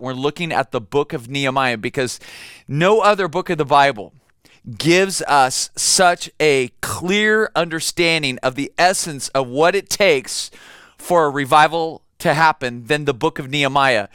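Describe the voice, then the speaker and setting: A man preaching a sermon with a bright, forward voice and crisp diction. He sits a little high in pitch and talks conversationally, stumbling over and repeating words as he tells a story. The sound is dry and close. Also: dry recording. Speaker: pastor.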